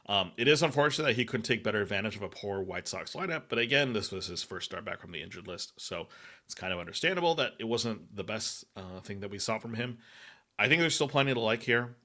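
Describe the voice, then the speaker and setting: A man's voice: fairly low pitch, sharp tone. A man hosting a fantasy baseball podcast, talking casually with frequent fillers and restarts. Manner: halting, many fillers and restarts. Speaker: podcast host. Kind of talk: casual analytic chat.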